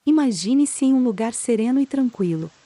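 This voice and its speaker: A woman with a Brazilian accent calmly guides a relaxation meditation, reading in a soothing, unhurried manner with pauses between phrases. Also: a little high. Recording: steady rain sound behind the voice. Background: soft rain.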